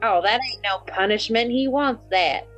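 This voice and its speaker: A woman's voice: a little high, moderate pace, fairly bright tone. A woman playing in a tabletop roleplaying game, casually narrating her character in a sassy, playful way. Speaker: player in a tabletop roleplaying podcast. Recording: dull recording with music underneath. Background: music.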